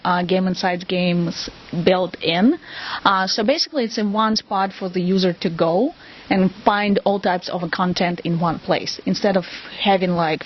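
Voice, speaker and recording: Female company spokesperson with a Russian accent, medium-pitched, informal and hesitant, on lo-fi audio. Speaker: company representative being interviewed. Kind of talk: casual product interview. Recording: dull lo-fi audio.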